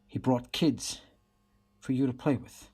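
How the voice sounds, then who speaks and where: A man playing a steady police detective in a radio play, firm and questioning. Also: medium pitch, measured pace, breathy edge. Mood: gentle.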